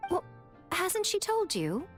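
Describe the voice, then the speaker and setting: A woman's voice: very high voice, wildly swooping pitch, slow pace, full character voice. A woman playing a sly, elegant shrine priestess in a video game, coy and theatrical, with a playfully haughty, teasing manner. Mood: mocking. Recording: game music behind the voice.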